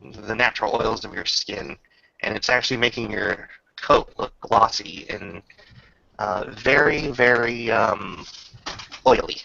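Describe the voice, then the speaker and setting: Gravelly, bright-voiced man running a tabletop game. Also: lowish voice, unhurried, highs cut off. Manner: informal, descriptive, profane. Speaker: game master. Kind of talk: tabletop game narration, casual.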